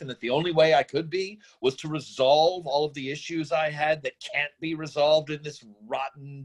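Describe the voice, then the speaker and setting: Guy acting a drifter dad in a script reading, higher voice, very animated, earnest and self-justifying. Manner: rambling, earnest speechifying with halting emphasis. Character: defensive, idealistic drifter father, impassioned and self-justifying. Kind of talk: screenplay table-read acting.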